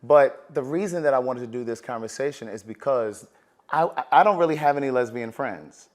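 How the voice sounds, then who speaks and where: A middle-aged man hosting a relaxed conversation, friendly and chatty, often restarting his sentences. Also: medium pitch, a little fast. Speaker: host. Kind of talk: relaxed interview chat.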